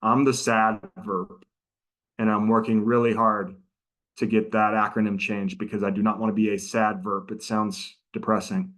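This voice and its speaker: A middle-aged man with a fairly low voice gives an online training talk. He speaks in a casual, chatty way and keeps turning to his listeners. The sound comes through a video call microphone. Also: little pitch movement, moderate pace, fairly bright tone, rough recording. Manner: casual, chatty, interactive with audience. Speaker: prosecutor and trainer.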